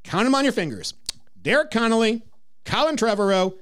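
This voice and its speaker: A man's voice: sits high, very animated, a little fast, fairly bright tone, smooth. A man hosting a movie review podcast, teasing his co-host and rattling off lists.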